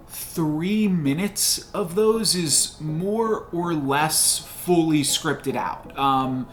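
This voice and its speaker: A man's voice: a little high, a bit bright. A young man hosting a gaming channel, talking off the cuff in a chatty, rambling way with fillers and restarts. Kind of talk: off-the-cuff game commentary outro. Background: faint background music.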